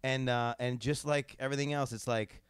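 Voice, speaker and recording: A man's voice: medium pitch, steady tone, normal speed, clean tone, dry recording, clean studio sound. A man in his thirties hosting a casual podcast chat in a hotel room, rambling with lots of fillers and restarts. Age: 30s. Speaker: podcast host.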